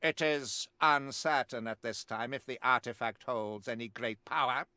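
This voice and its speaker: A man's voice: medium pitch, normal speed, pushed and bright, clean tone, dry recording, clean studio sound, lightly acted. A male actor playing a stern, fanatical witch hunter in a video game, declaiming in pompous, archaic, theatrical speech. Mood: wry, disdainful.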